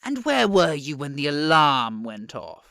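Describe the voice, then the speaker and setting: A swooping, sharply bright voice for a smug Prime Minister, recorded close and dry. He drawls his sarcasm slowly, bullying with mock courtesy in audio drama style. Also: a little high, sing-song, creaky, no echo, full character voice. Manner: drawling, sarcastic, stretching words with pauses.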